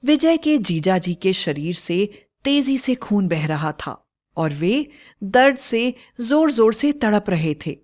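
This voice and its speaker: A woman storyteller narrates dramatically. Her medium-pitched voice moves in animated rises and falls at a slow, deliberate pace, as in a scripted bedtime tale.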